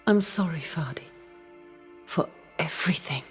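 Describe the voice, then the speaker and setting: An actress playing a possessive, remorseful woman in a video game, sorrowful and halting. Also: low voice, music in the background. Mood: remorseful, resigned. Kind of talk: video game cutscene acting.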